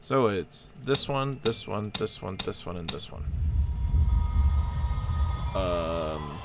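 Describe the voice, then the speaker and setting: A man's voice: deep voice, expressive, slow, heavy background noise. A man hosting a game playthrough with casual commentary, hesitant and rambling as he thinks aloud. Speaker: host of a gameplay channel.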